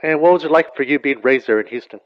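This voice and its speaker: A man hosting an interview who asks quick, rambling questions. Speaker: interview host. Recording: dull, dry low-fidelity sound.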